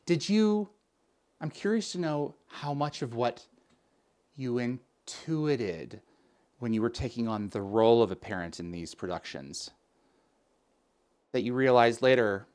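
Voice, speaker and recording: A man's voice: medium pitch, a little mellow. A man hosting an interview, earnest and expansive, asking long winding questions. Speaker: interview host.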